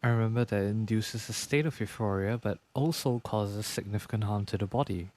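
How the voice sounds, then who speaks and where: A woman's voice: a bass voice, fairly quick, darkish tone. A woman streaming a game, giving casual, murmured reaction commentary.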